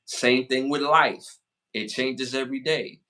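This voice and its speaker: A man in his forties hosting a casual podcast, giving motivational advice and shout-outs, repeating phrases for emphasis. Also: medium pitch. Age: 40s. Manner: hypes the chat with rapid shout-outs. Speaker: podcast host.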